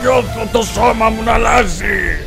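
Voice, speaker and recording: A man voicing a mighty cartoon earth-lord warrior with a gravelly voice. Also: sits high. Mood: awed. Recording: music underneath.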